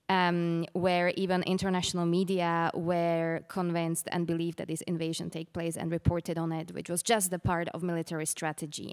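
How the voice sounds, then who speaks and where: Smooth-voiced woman, even delivery. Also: on the low side. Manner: measured, formal, some fillers. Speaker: panelist. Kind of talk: conference panel remarks. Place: large conference room. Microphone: handheld microphone.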